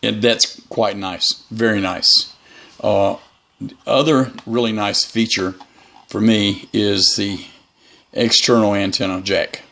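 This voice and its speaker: A man's voice: on the low side, steady tone, slow pace, gravelly, a bit bright. A middle-aged man giving a casual, unscripted product review in a rambling, folksy, opinionated way. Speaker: radio hobbyist reviewer. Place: room in a house.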